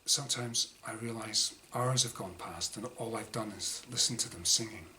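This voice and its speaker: A man reading his own first-person short story dramatically, in clipped diary-like phrases with pauses. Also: lowish voice, pushed and bright. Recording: clearly noisy room ambience.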